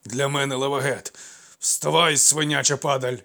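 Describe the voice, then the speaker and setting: A man voicing an old male ex-soldier, out of breath and wheezing, talking loud and urgent. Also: medium pitch, moderate pace, lightly acted. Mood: frantic.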